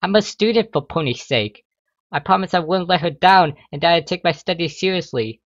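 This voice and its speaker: Young female unicorn student, played by a male reader: high, anxious, pleading, exasperated, for a fan story reading. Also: fairly quick, no echo, muffled recording, close to an everyday voice. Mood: worried. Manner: pleading, flustered, protesting.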